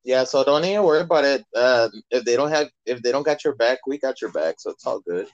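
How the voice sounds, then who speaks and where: A man's voice: medium pitch, animated, fast, dry recording. A man hosting a casual phone interview, chatting informally and encouragingly. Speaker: interview host. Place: remote phone call. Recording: dull, lo-fi phone call audio.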